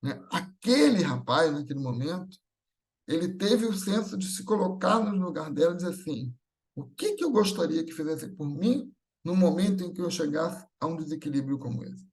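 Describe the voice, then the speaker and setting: A Brazilian man with a fairly high voice speaks at a religious gathering. He talks informally and conversationally, illustrating his points with everyday anecdotes, voicing the people in them and often adding short tag questions. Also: lively intonation, normal speed, a little mellow. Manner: acts out everyday dialogue in anecdotes.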